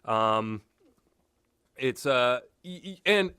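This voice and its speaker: A man's voice: medium pitch, expressive, drawn-out words, sharp tone, smooth, no room sound. A man giving unscripted, opinionated talk as a host, wandering off on tangents and swearing casually. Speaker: host of a video game discussion show.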